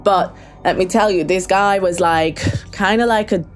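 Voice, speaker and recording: A woman's voice: mid-range voice, moderate pace, faint music behind. A woman telling a true story in a voicemail, hesitant and full of ums and restarts. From Hungary. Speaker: caller leaving a voicemail. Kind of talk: casual spoken true story.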